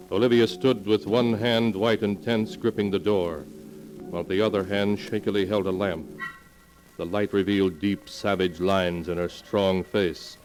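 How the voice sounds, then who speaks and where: A man's voice: low-pitched, low-quality recording. A man giving ominous, dramatic scripted narration for a 1940s radio melodrama. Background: dramatic music.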